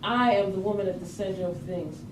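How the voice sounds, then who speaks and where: A woman's voice: mid-range voice, brisk, far from the mic, natural voice. A woman on stage playing a solemn ancestral spirit grandmother, declaiming like an incantation in a ritual monologue. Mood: solemn, invoking. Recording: echoey room from afar, noisy.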